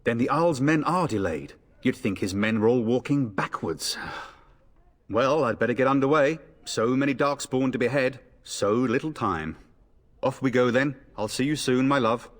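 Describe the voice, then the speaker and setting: A man's voice: medium pitch, moderate pace, long reverb tail, lightly acted. A man playing a jaunty, teasing young noble soldier in a video game. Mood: confident, joking.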